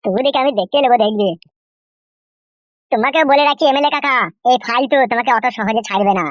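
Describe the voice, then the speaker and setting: A man's voice: very high-pitched, fast talker, dry recording, muffled recording. A man voicing a hot-headed, gullible village husband in a comic cartoon, blustering and whining in a rural West Bengal accent. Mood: indignant. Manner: blustering, whining, mispronounces words.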